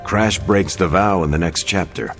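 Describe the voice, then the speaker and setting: A low-voiced, inquisitive hero. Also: male voice, moderate pace, over music. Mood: puzzled, curious. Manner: inquisitive, matter-of-fact. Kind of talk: video game cutscene dialogue, natural conversational acting.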